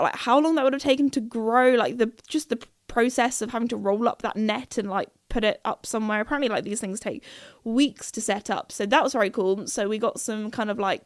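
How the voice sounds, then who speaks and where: A young woman hosting a casual solo podcast, rambling between topics with lots of fillers, her voice slightly croaky from a cold. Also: medium pitch, no room sound, studio quality. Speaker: podcast host.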